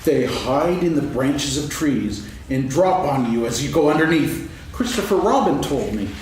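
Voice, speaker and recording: A man's voice: fairly high pitch, animated, normal speed, a little mellow, lightly acted. Simple-minded storybook bear played by a man, echoey. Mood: placid explaining. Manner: simple, matter-of-fact. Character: naive, calm, matter-of-fact toy bear. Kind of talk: staged dramatic reading of a children's story. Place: on stage, seated reading. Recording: echoey hall, far mic, faint noise.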